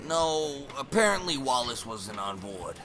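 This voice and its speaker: A man's voice: medium pitch, very animated, measured pace. A man playing a chatty, amused gangster thug in an old-time radio drama. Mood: amused, gossipy. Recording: busy crowd behind.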